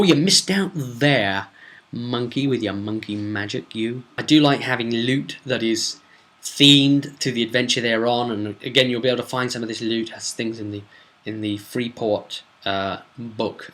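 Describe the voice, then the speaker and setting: A man's voice: mid-range voice, a bit bright. A man casually recapping a tabletop role-playing campaign as game master, chatty, with emphatic asides. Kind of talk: casual solo recap narration.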